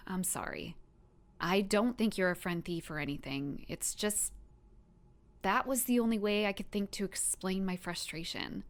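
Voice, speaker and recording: A woman playing a guarded, softhearted young woman with superpowers in an audio drama, in a conversational, emotionally open manner. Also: mid-range voice, measured pace, natural voice. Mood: regretful.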